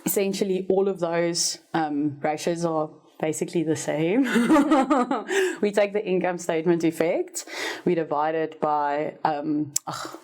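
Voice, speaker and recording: This is a South African woman, Afrikaans by first language, with a low-pitched voice. She explains business figures in a relaxed, teacherly podcast style. She stays upbeat and fixes her own slips on the fly. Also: measured pace. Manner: teacherly and upbeat, laughs at herself, corrects herself mid-sentence. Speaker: finance expert guest, former university lecturer.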